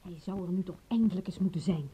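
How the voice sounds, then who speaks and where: A shrewd, guarded woman who assists a private detective in a radio play. Her voice is very warm and dark with a husky grain, and her manner is dry and sardonic. The recording is dull and muffled, like old radio. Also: lowish voice, fast. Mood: sardonic, suspicious. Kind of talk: radio drama acting.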